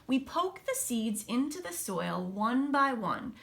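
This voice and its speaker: A woman teacher reading a picture book aloud to children, expressively, with casual, hushed explanatory asides. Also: on the high side, slow, creaky. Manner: animated storybook delivery, dropping to hushed asides.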